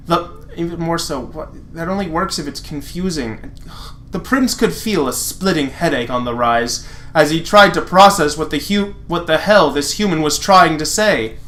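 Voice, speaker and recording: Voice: mid-range voice, normal speed, a bit bright. A sarcastic, exasperated reader narrating fan fiction dramatically and breaking off for mocking asides.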